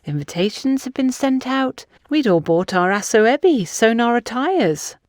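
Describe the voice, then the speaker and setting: A woman's voice: a little high, very animated, moderate pace, darkish tone, no room sound, voice pushed up. An older woman confides gossip and warnings with emphatic insistence as a female narrator acts her out. The voice is lifted above a mid register in storybook dialogue, recorded very dry. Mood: gossipy. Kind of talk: audiobook character dialogue.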